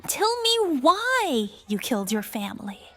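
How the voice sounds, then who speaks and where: Young noblewoman mage: high voice, unhurried but urgent, earnest and determined, audio drama. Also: big pitch swings, fairly bright tone, full character voice. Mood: firm, earnest.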